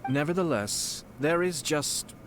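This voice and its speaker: An actor playing a poised, courteous nobleman in a video game, speaking formally and with measured calm. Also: a little high, a little hiss or hum. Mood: measured, curious. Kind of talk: video game character dialogue.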